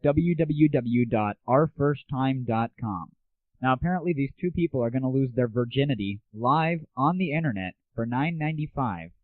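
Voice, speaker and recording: A man's voice: medium pitch, animated, moderate pace, dry recording. A man hosting an internet audio show, delivering a crude, sarcastic and casual comic rant. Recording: narrow, tinny lo-fi internet audio.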